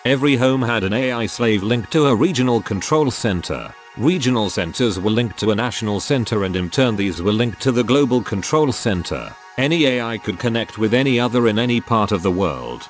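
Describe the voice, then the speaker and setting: A low, expressive male voice of an actor as an AI guide, with music underneath. He is composed and measured, telling his story with wry understatement. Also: close to an everyday voice. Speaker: narrator. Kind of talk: scripted storytelling narration.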